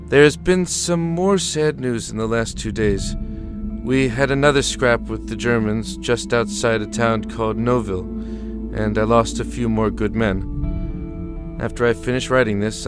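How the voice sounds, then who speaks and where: A man's voice: fairly low pitch, close to an everyday voice. A man playing a weary, affectionate army lieutenant reading out his letter home in an audio drama, tender and stoic. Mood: sombre, weary. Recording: music under the voice.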